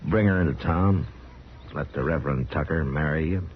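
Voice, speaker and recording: An actor playing a steady, tactful but firm marshal, plain-spoken and measured, in a 1950s radio western. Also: male voice, very deep voice. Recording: dull, noisy old radio recording.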